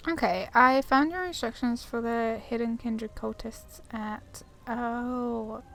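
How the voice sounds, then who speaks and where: A woman's voice: fairly high pitch, fairly even intonation, takes it slowly, vocal fry, fairly bright tone. A woman giving casual, chatty commentary on a game playthrough, wandering off on tangents and reading dialogue aloud. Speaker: gaming streamer. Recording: faint background noise.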